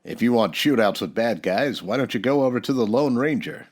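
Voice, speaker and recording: A man's voice: mid-range voice, animated, fairly quick, natural voice. A man playing an upright, plain-spoken Old West marshal in a radio western, earnest and folksy. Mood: calm, earnest.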